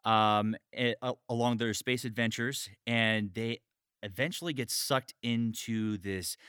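A man hosting a casual podcast interview, bantering and excitable, swearing freely. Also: medium pitch, a little slow, smooth, dry recording, studio quality. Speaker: podcast host.

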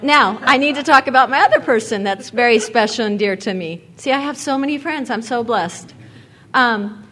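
A woman presiding over an award presentation, talking in an effusive, repetitive way at a podium microphone in a meeting hall. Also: a little high. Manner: warm, effusive, repeats words.

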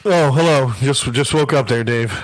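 A man's voice: medium pitch, moderate pace, no echo, rough recording. A middle-aged man co-hosting a gaming podcast, joking and self-mocking in casual chat. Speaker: podcast co-host.

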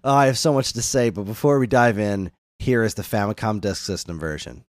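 A man's voice: lowish voice, fairly quick. A man hosting a casual music discussion, speaking enthusiastically and illustrating his points with hummed melodies. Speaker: music discussion podcast host.

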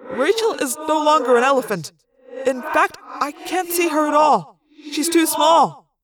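A woman's voice: sits high, lively intonation, a little slow, background noise. Woman voicing a formal, earnest alien shark. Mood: matter-of-fact. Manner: formal, precise, avoids contractions. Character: earnest, literal-minded male alien in shark form.

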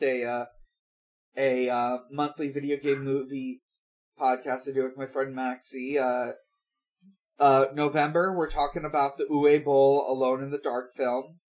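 A man hosting a podcast in casual talk, with a halting, hesitant delivery. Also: medium pitch, level tone, low-quality recording. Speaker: podcast host.

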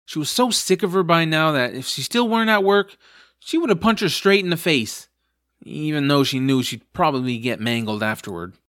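A man's voice: medium pitch, a bit bright, no room sound, clean studio sound. A man narrating a scripted comic fantasy story for an audio drama.

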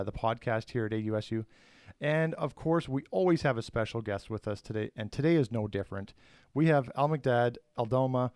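A man's voice: medium pitch, darkish tone, no room sound, studio quality. Upbeat man hosting a podcast. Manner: upbeat, chatty, many fillers. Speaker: podcast host.

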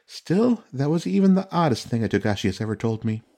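A man's voice: mid-range voice, big pitch swings, brisk, gravelly, warm tone, voice pushed up. A man voicing a courteous, wryly smirking female samurai diplomat in an audiobook, with a lilting delivery. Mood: amused.

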